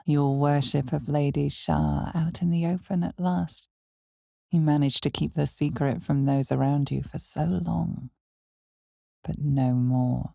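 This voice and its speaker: A woman playing the narrator of a dark fantasy game, solemn and theatrical, with measured, deliberate phrasing and a hushed, breathy edge. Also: sits low, no echo, studio quality, full character voice. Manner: measured, deliberate, theatrical delivery with weighted pauses.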